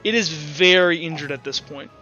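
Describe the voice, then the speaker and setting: A man running a tabletop roleplaying game, improvising his narration in a halting way and repeating words as he thinks aloud. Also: a little high, sharp tone, dull audio, faint music behind. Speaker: game master.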